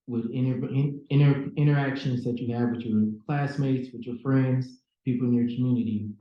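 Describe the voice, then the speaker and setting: A young man from Minnesota hosts a youth panel discussion, talking in a relaxed, halting way full of fillers and restarts. His voice is warm and mellow, and the sound comes through dull and heavily echoed, like a big conference room. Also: mid-range voice. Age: young adult. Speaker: panel moderator.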